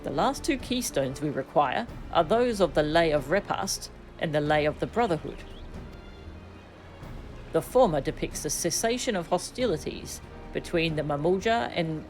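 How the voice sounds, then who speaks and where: A woman voicing a composed scholarly adviser from a video game, formal and measured in manner. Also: sits low, poor audio. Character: composed, scholarly explorer-adviser explaining legends. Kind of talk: video game dialogue read aloud.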